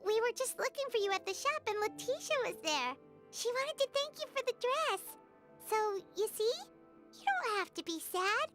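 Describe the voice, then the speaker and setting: A woman voicing a tiny, cheerful childlike female video game companion with an eager, squeaky manner. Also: sing-song, moderate pace, a bit bright, faint music behind, heavily acted. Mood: earnest, reassuring.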